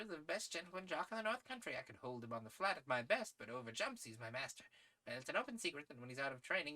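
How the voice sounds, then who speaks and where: A man voicing a hearty, daring aristocratic English gentleman adventurer from a storybook, with jaunty, slangy upper-class phrasing. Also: fairly high pitch, pitched above the performer's own voice, low-quality recording.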